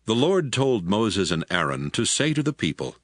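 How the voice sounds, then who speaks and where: A man's voice: on the low side, big pitch swings, moderate pace, fairly bright tone. A man narrating scripture from a script, as the narrator of a dramatized reading.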